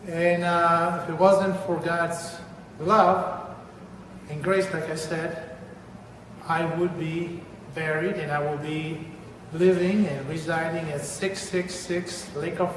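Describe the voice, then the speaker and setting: Older man with an Argentine accent. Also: fairly high pitch. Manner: earnest, deliberate, repeats words for emphasis. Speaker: church member giving testimony. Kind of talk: personal religious testimony. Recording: echoey hall, voice from far off, noisy.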